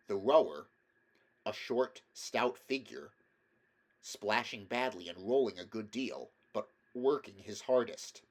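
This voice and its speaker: A man narrating a children's animal story as an expressive audiobook reader. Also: lowish voice.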